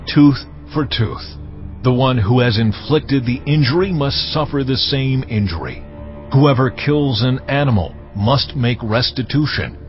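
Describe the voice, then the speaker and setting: A man playing God in a dramatized audio Bible, giving laws in a solemn, measured, commanding manner. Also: mid-range voice, lightly acted. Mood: stern, grave. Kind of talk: dramatized scripture reading. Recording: dull lo-fi audio, music underneath.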